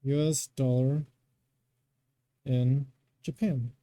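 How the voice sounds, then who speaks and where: A man's voice: mid-range voice, steady tone, drawn-out words, dark tone, no echo. A young American man co-hosting a podcast in casual banter, with a blunt, argumentative way of talking. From United States. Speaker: podcast co-host.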